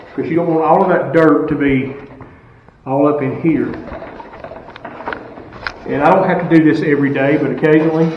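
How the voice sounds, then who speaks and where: A man's voice: on the high side, slight background noise. Middle-aged man, plain-spoken custodian explaining his routine, heavy echo from a distant camera. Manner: plain-spoken, explains step by step, asks rhetorical questions. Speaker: school custodian. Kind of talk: casual how-to instruction. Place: school stairwell, indoors, hard walls. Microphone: camera some distance off. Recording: echoey stairwell, distant and dull.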